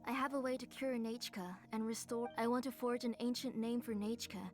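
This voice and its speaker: Woman acting a gentle, higher-voiced devoted mother. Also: little pitch movement, fairly quick, faint music behind, lightly acted. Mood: earnest, resolved. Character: gentle, devoted, ailing mother and name engraver. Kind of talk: video game voice acting.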